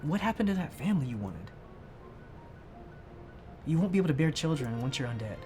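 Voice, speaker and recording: A man's voice: a little high, sing-song, fairly quick, mellow, soft background music. A man playing an anxious, sensible, conventional fiancé in a comedic short film, earnest and reasoning as he protests. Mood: exasperated pleading.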